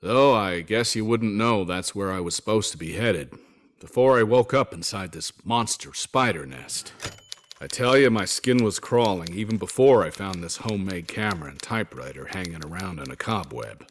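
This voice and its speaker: A man's voice: deep voice, lively intonation, moderate pace. A male actor playing a stranded 1940s American soldier who narrates letters home in a wry, folksy, wisecracking way. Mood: uneasy, wry. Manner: folksy, wisecracking, old-fashioned slang. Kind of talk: scripted first-person letter narration, in character.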